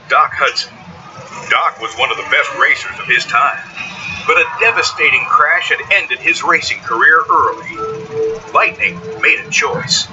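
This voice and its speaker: A man narrating a scripted children's story in a dramatic, expressive way. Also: on the high side. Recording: dull sound under loud music. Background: loud music bed.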